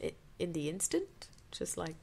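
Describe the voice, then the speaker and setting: A woman's voice: lowish voice, big pitch swings, unhurried, creaky, warm tone. A woman doing an amateur dramatic reading of fanfiction, theatrical, with incredulous, sarcastic asides.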